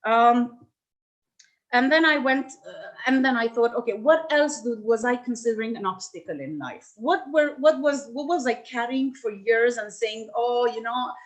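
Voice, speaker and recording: Middle-aged woman from Lebanon, workshop speaker, thoughtful and halting delivery, slightly high voice. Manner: reflective, hesitant, with frequent restarts and fillers. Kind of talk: personal talk to a workshop.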